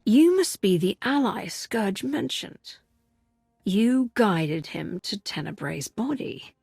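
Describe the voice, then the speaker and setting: An actress playing a brave, blunt heroine in a video game, with a husky voice. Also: female voice, medium pitch, animated, slow pace, darkish tone, no echo, clean studio sound, exaggerated voice. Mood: inquiring. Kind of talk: dramatic game acting.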